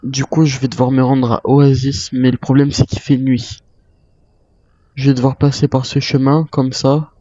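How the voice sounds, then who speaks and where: Man, mellow soft-edged timbre, lo-fi mic, improvised gameplay roleplay, thinking aloud. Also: mid-range voice, flat delivery, moderate pace. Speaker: gamer roleplaying a zombie-island survivor. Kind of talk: in-character gameplay narration, improvised.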